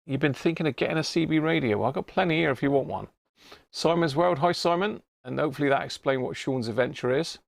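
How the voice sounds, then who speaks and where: British man, livestream host, gravelly edge, dry close sound, casual matey chat. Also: fairly high pitch, fast talker, no room sound. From United Kingdom. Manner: chatty, informal, matey. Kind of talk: casual live chat to viewers.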